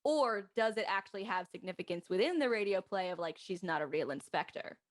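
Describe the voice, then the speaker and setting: A woman's voice: mid-range voice, expressive, a little fast. A woman in a casual group chat about an audio drama, reasoning things through aloud. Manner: analytical, thinking aloud. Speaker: cast member discussing an audio drama.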